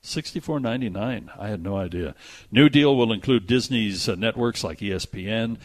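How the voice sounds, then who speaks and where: Low-voiced man hosting a casual movie show. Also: moderate pace. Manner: conversational, reads news items aloud with asides. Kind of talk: casual movie and TV news chat.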